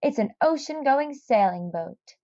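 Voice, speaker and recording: A woman's voice: high-pitched, expressive, measured pace, dark tone, lo-fi sound, pitched above the performer's own voice. A woman voicing a dreamy, determined male rat in a storybook, earnest and stubborn. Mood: earnest longing.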